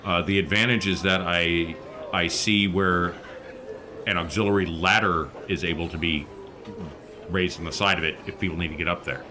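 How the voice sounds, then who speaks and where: A man's voice: deep voice, steady tone, moderate pace, over music. A man casually talking through his character's moves as a player in a tabletop role-playing game. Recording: clear background noise.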